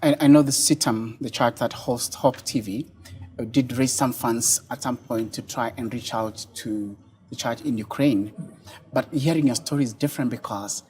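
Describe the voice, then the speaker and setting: A middle-aged man from Kenya hosts a TV studio interview with a husky, slightly breathy voice. He asks his questions quickly and earnestly, and a lapel mic picks him up on the set. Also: mid-range voice, a little mellow, faint music behind. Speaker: talk show host. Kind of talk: interview hosting.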